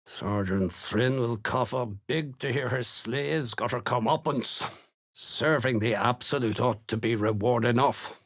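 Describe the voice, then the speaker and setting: An actor playing a gruff, menacing cult slaver in a video game, with a rough voice and a curt, contemptuous manner. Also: male voice, medium pitch, a little slow, fairly bright tone. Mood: gruff, businesslike. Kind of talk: video game character dialogue.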